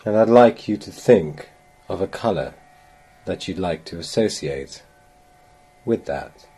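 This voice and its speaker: A man guiding a meditation with gentle, measured, unhurried instructions and long pauses. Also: low voice, animated, husky. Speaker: guided meditation narrator. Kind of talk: guided meditation, slow soothing instruction.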